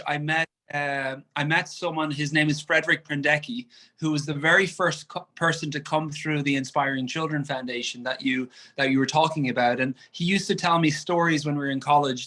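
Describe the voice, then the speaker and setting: Earnest man, bright-toned. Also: on the high side. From Ireland. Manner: earnest storytelling with frequent restarts. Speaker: tennis coach. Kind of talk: conversational interview answers.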